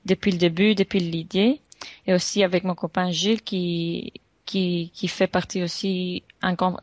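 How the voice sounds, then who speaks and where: Halting woman. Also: fairly low pitch, no echo. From Honduras. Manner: halting, many restarts and fillers. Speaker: guest entrepreneur. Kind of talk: interview answers.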